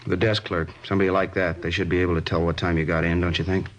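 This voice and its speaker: A man's voice: low-pitched, level tone, fast, slight background noise, close to an everyday voice. An American actor playing a skeptical, plain-spoken male police detective in an old-time radio crime drama. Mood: dry, probing. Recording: dull old radio recording.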